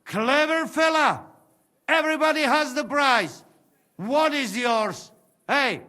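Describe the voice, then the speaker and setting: Coaxing mercenary. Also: male voice, extremely high pitch, slow, sharp tone, exaggerated voice. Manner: broken English, coaxing and persuasive. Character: brash male mercenary from the north, broken English. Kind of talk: video game cutscene acting.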